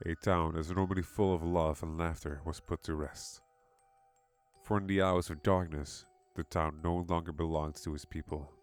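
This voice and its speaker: Very deep-voiced male horror narrator. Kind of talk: scripted horror story narration. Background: background music.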